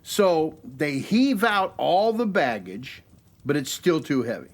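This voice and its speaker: An actor playing a playful, chatty man with a jovial, drawn-out storytelling manner. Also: a little high, big pitch swings, full character voice. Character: playful, chatty American man telling a long joke.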